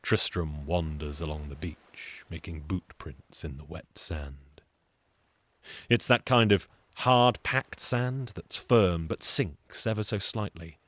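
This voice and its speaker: A man's voice: low-pitched, slow pace, a bit bright, no echo. A man telling a comic folk tale in a theatrical, conversational way, with wry, sardonic asides. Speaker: storyteller, solo show performer.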